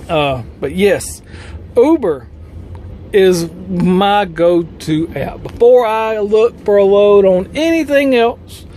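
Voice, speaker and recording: A man's voice: high voice, a little mellow, a little hiss or hum. A man talking casually as a trucker vlogger, explaining things in a folksy, colloquial way.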